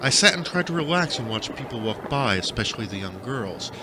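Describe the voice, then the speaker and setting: Man narrating with lively, swinging pitch. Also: a little fast, heavy background noise. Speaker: audiobook narrator reading own fiction. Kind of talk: first-person storytelling narration.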